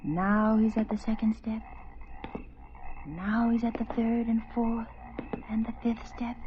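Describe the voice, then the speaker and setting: An actress playing an anxious woman walking alone at night in a vintage radio suspense play, talking to herself in halting, breathy, often whispered phrases. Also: mid-range voice, a little hiss or hum, lightly acted. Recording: muffled old radio recording with music.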